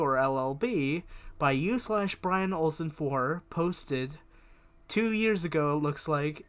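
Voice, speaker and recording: A man's voice: on the high side, a bit bright, clean tone. A man reading a diary-style horror story aloud as scripted narration, with expression. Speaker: fan fiction reader and video creator.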